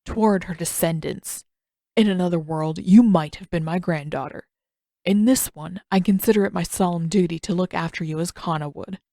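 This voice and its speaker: A woman voicing a stern, proud old male master in a story reading, speaking in a formal, measured, condescending way. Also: on the low side, warm tone, dry recording, clean studio sound, natural voice. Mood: stern, dignified. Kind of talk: audiobook-style fan fiction character reading.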